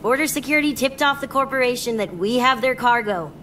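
A woman's voice: fairly high pitch, fairly quick, pushed and bright, a little hiss or hum. An AI-generated woman's voice playing a tough, guarded young nomad mercenary in video game dialogue, speaking curtly and matter-of-factly. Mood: firm, cool.

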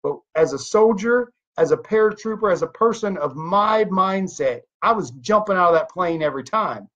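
A man's voice: sits high, normal speed. A man of thirty-nine giving an emphatic motivational talk to a webcam from a home office, full of rhetorical questions. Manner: emphatic, animated hand gestures, rhetorical questions. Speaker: network marketing leader. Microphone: webcam at desk. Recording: dull lo-fi webcam audio.

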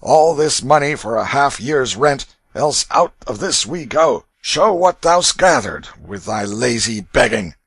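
A man's voice: fairly high pitch, lively intonation, unhurried, vocal fry, sharp tone, breathy edge, no room sound, voice pushed up, exaggerated voice. A man voicing a brutish, hot-tempered ruffian father in a storybook, roaring and bullying in archaic English. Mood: angry. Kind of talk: dramatic storybook reading.

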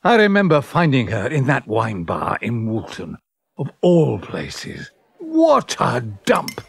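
Brief: self-pitying, reflective man in audio drama; swooping, unhurried delivery, halting and theatrical. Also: mid-range voice, huge pitch swings, vocal fry, breathy edge, no echo, clean studio sound, heavily acted. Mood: rueful, brooding. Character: rueful, self-pitying man recalling old love affairs. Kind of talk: intimate audio drama monologue and dialogue.

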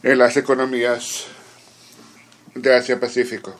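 A man working as a conference interpreter, giving a formal simultaneous interpretation in short, measured phrases with halting pauses. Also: medium pitch, level tone.

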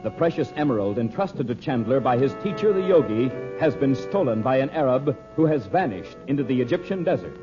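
A man announcing an old-time radio show in an emphatic, deliberate scripted style. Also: medium pitch, very animated. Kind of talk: 1930s-40s radio announcing, scripted sales pitch and narration. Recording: muffled noisy old radio, loud music.

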